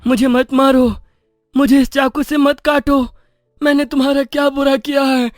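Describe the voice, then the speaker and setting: A high-pitched, friendly magical carrot played by a man from a cartoon moral story. It speaks emphatically and theatrically, pleading and generous by nature. Music plays behind the dry, close recording. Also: little pitch movement, moderate pace, no room sound. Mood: frightened pleading. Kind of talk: animated moral story acting.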